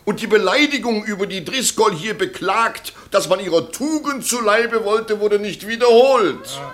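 An actor playing a pompous, florid defence lawyer with oratorical delivery in a 1960s German radio drama. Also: male voice, high-pitched, expressive, moderate pace, fairly bright tone. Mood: oily pleading.

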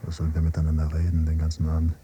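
Radio drama: detached, brooding son, very soft-edged dark tone, clipped introspective phrases, slight tape hum. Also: male voice, rumbling low, monotone, very fast. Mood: brooding disgust. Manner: terse, clipped phrases, subdued. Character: brooding, detached adult son, quietly disgusted by his father. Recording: noisy, humming cassette copy.

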